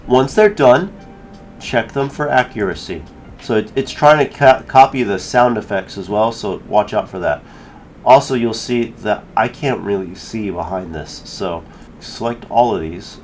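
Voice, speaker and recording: A man giving a casual software tutorial, informally thinking aloud as he works through each step. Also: on the low side. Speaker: tutorial creator.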